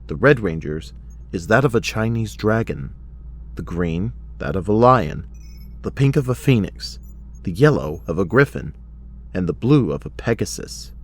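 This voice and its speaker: A man's voice: fairly low pitch, lively intonation, normal speed. A man reading scripted dramatic narration as the narrator of an audio drama.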